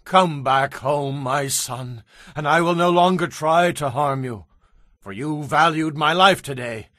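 A man's voice: a little high, a little slow, fairly bright tone, no echo, clean studio sound. An actor playing a man of ancient Israel in a dramatized audio Bible. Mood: remorseful. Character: men of ancient Israel: soldiers, messengers, a king.

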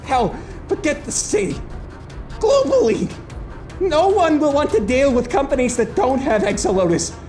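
A woman's voice: high-pitched, very animated, moderate pace, darkish tone, faint music behind. A woman playing a haughty, anxious corporate boss in an audio drama, indignant and agitated. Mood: agitated outrage. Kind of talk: audio drama villain acting.

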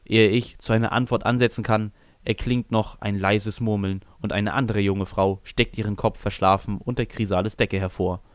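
A man narrates a scripted audio drama in the first person. His voice sits fairly low. The sound is dry, with no room around it. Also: steady tone, moderate pace, no room sound.

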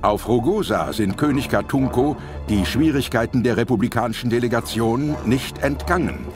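A man's voice: mid-range voice, lively intonation, normal speed. A man narrating an audio drama in a dramatic scripted style. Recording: music behind the voice. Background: prominent music.

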